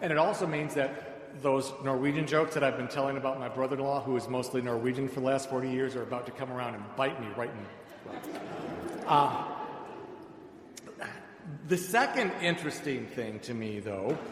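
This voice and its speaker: Male American preacher, middle-aged, conversational and wry, mid-pitched, recorded in a reverberant hall. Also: normal speed. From suburbs of Chicago, United States. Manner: conversational, dryly humorous. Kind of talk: sermon with personal anecdotes. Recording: echoey hall, long reverb, background noise.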